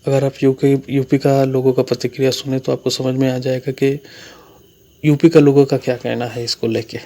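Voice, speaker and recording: A man's voice: medium pitch, level tone, normal speed, creaky, dark tone. A man hosting a channel, delivering scripted news commentary with somewhat repetitive phrasing. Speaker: YouTube channel host.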